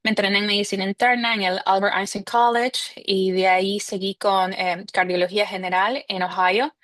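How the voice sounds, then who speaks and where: A woman from Ecuador with an Ecuadorian Spanish accent, a cardiologist answering interview questions in a measured way, often saying "este" and slipping in English medical terms. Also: mid-range voice, fairly even intonation, a bit bright, no room sound. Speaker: cardiologist guest.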